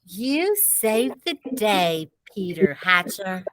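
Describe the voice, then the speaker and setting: A woman's voice: mid-range voice, very animated, very slow, fairly bright tone, exaggerated voice. A woman playing an encouraging female helper in a children's storybook, praising and coaxing.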